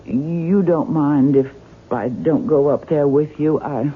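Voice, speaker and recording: Casting: a wistful, anxious bereaved woman, slow and slightly breathy, for radio drama with thin broadcast sound. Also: deep voice, heavily acted. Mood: wistful, subdued. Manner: hesitant, halting, polite. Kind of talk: 1970s radio drama acting. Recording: 1970s radio broadcast, narrow phone-like band.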